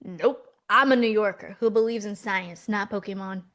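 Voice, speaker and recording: A woman's voice: mid-range voice, moderate pace, fairly bright tone, close to an everyday voice. A woman playing a skeptical, practical millennial twin sister from New York in a romcom table read. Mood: tired, dismissive.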